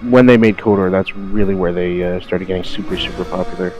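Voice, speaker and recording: Male co-host, slightly low voice, laid-out casual game talk, faint music. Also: fairly even intonation, a little fast, darkish tone. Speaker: podcast co-host. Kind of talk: casual podcast chat about video games. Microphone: voice chat call.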